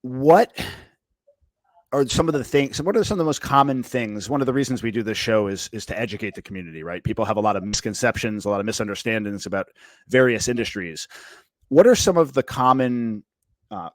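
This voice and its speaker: A man's voice: mid-range voice, no echo. Man hosting a podcast with a dry close mic. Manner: measured, rambling questions with fillers. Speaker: podcast host. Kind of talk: casual interview chat. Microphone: headset, studio.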